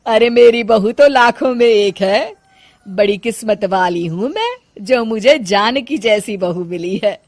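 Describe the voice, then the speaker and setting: A woman's voice: mid-range voice, lively intonation, a little slow, a little mellow, no room sound. A woman voicing a middle-aged city mother-in-law in a cartoon story, fond but complaining, with melodramatic exclamations. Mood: proud. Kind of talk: Hindi cartoon moral-story acting.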